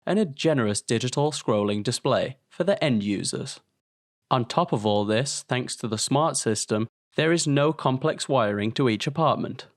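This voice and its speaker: A man's voice: medium pitch, no room sound. Dry, scripted male promotional narrator. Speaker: product video narrator.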